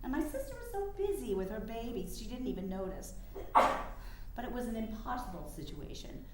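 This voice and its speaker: A woman's voice: fairly high pitch, very animated, normal speed. A woman acting a resolute, confiding mother and suffrage campaigner in a staged period drama, speaking earnestly in formal phrasing. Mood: wistful confession. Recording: very echoey room with faint noise.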